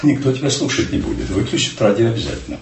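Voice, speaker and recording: An older man from Ukraine, a stage actor, telling stories in an unhurried, emphatic way during an interview. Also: mid-range voice, big pitch swings, reverberant, recorded from a distance, slight background noise. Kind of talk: reflective interview answers.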